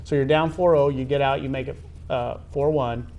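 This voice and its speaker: A man hosting a sports interview, asking long conversational questions in halting, wordy phrasing with repeated words. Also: medium pitch, steady tone, a little mellow. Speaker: interview host.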